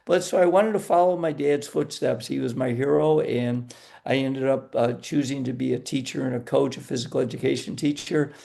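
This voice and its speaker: A man's voice: medium pitch, steady tone, normal speed, vocal fry, dark tone. An older man from western New York, a retired coach and speaker, telling his story conversationally as a guest.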